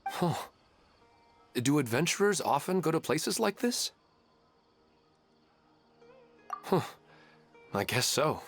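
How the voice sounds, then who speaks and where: An actor playing a gentle, courteous, devoted widowed father in a video game, speaking politely and earnestly in a reflective way. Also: on the high side, sing-song, measured pace, soft background music. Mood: mildly curious.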